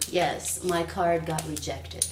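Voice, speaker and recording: A woman's voice: deep voice, steady tone, slow. An actress playing a woman who has lost her job and rights, strained and bitter, at times whispering. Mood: upset. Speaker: narrator and protagonist. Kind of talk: radio drama. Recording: noisy recording with hiss.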